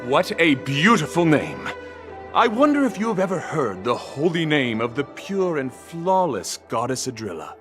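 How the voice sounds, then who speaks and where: An actor playing a gallant, earnest knight in a video game, speaking in a flowery, theatrical, courtly manner. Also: male voice, fairly high pitch, very animated, moderate pace, fairly bright tone, faint music behind. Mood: courtly admiration.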